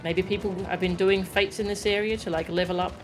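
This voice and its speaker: A woman's voice: mid-range voice, steady tone, brisk, poor audio. A woman game streamer giving casual, understated live commentary, musing to herself as she plays. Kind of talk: casual live gameplay commentary, reading text aloud. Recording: game music behind the voice.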